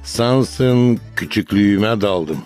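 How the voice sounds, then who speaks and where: An actor playing a fond, nostalgic old village shepherd in a cartoon. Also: male voice, lowish voice, expressive, takes it slowly, a little mellow. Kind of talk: cartoon dialogue. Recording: light music behind.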